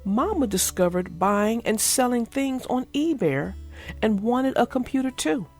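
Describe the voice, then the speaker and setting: A woman reading a children's storybook aloud as narrator, in an expressive storytelling manner. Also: a little high, unhurried, faint music behind. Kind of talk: scripted storybook read-aloud.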